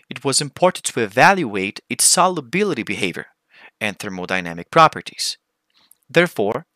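A man's voice: medium pitch, bright, forceful tone, dry recording. A man with a Brazilian accent, a native Portuguese speaker, giving a formal, methodical scripted technical presentation as a researcher.

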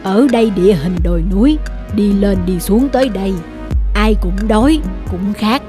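A woman's voice: medium pitch, moderate pace, over music, natural voice. Woman as a kindly, thoughtful old traveller. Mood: thoughtful, appreciative. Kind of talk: cartoon dubbing.

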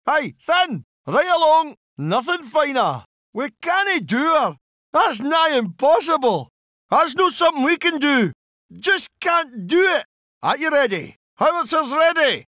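A gruff Scottish artillery gunner. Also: male voice, very high voice, very animated, measured pace, bright, forceful tone, dry recording, clean studio sound, exaggerated voice. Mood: clipped. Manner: clipped barked acknowledgements. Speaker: artillery crew unit voice. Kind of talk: video game unit responses.

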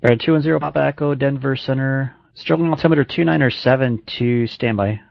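A man's voice: mid-range voice, fast, creaky, dry recording. A man working as an air traffic controller, speaking clipped radio phraseology. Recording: dull lo-fi radio and landline audio.

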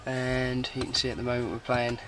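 A man with an average-pitched voice gives an informal walkthrough of equipment he has fitted. He talks off the cuff in a matter-of-fact way, recorded on a handheld camera in and around a parked car, with the car stereo clearly playing behind him. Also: monotone, a little fast, fairly bright tone, faint music behind. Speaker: car audio installer presenting a demo.

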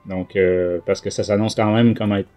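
A man's voice: lowish voice, steady tone, moderate pace, dark tone, faint music behind. A man with a Quebec French accent hosting a casual book review podcast, talking in a hesitant way. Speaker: podcast host.

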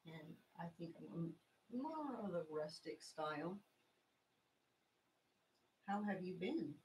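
A woman's voice: lowish voice, very animated, slow, a little mellow. Middle-aged woman, scratchy from illness, rambling craft-stream host, faint background hiss. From Texas. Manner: chatty, rambling, stops to explain each step. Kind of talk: casual craft tutorial livestream chat. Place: small home craft room, indoors.

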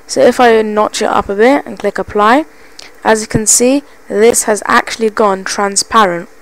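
A woman's voice: medium pitch, steady tone, moderate pace, rough recording. A woman records a casual screen tutorial at home on a basic PC microphone. She is chatty and keen, and she keeps repeating little pointers as she clicks around. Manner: chatty, enthusiastic, repeats "as you can see". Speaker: tutorial presenter.

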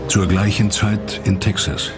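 A man's voice: lowish voice, steady tone, unhurried, over music. A man narrating a dramatic documentary from a script.

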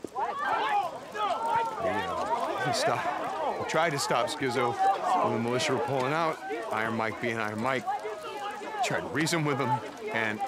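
A man's voice: a little high, heavy background noise, heavily acted. An actor playing a weary, grieving male survivor in a dramatic video game cutscene. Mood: grieving, halting.

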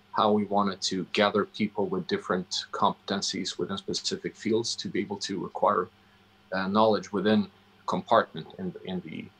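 Male interview guest, Swedish accent, low gravelly voice, halting and deliberate delivery over a video call. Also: fairly bright tone, rough recording. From Sweden. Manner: halting, deliberate, searching for words. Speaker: interview guest, coffee roastery founder. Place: indoor room, on a video call. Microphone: video call microphone.

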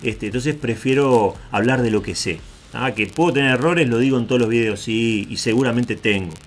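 A man's voice: mid-range voice, moderate pace, slight background noise. A man from Argentina, raised in Uruguay, with a Rioplatense accent, casually talking through a screen-share tutorial with frequent asides and tag questions. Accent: Rioplatense Spanish. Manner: chatty, digressive, checks in with "¿tá?". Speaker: tech video host.

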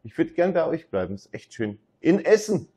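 A man's voice: a little high, very animated, normal speed, dark tone, slightly rough, no room sound. A middle-aged man from Bavaria, giving an upbeat, jokey walk-through tour of a campsite while filming himself. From Bavaria, Germany. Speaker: camping vlogger. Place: campsite outdoors and indoor reception office.

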